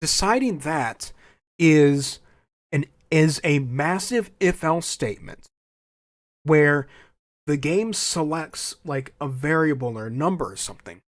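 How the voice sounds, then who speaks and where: A man's voice: fairly high pitch, no echo, studio quality. A young man hosting a podcast, talking casually and opinionated, his voice slightly scratchy and stuffy from a cold, often restarting phrases. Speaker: podcast host. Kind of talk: casual solo podcast rant.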